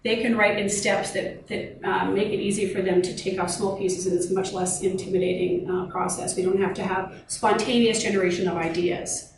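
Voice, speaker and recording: A woman's voice: medium pitch, fairly even intonation, brisk. Woman lecturer in a very echoey room. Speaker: university lecturer. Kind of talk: workshop presentation to colleagues. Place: meeting or seminar room. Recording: echoey room, distant mic, noisy background.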